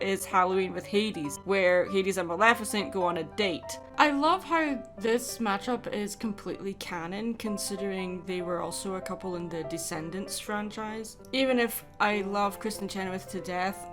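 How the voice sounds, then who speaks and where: A woman narrates a video essay in a wry, self-deprecating way. Her pitch is average for a woman, and music runs clearly underneath the commentary. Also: normal speed, few pauses.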